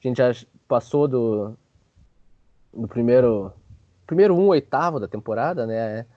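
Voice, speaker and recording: A man's voice: mid-range voice, unhurried, dry recording, lo-fi sound. A man hosting a sports show with casual, joking basketball commentary full of informal filler words.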